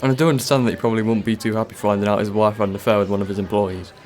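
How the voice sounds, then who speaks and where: A man's voice: lowish voice, fairly even intonation, racing, darkish tone, natural voice. A man playing a defensive, uneasy office worker under suspicion in a radio play, speaking hesitantly and guardedly. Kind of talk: student radio drama acting. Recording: cafe crowd chatter behind.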